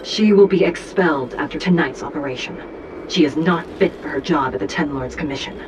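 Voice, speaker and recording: A woman playing a stern, composed judge in a video game, speaking in a formal, solemn, measured way. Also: deep voice, noisy background, close to an everyday voice. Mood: cold, severe.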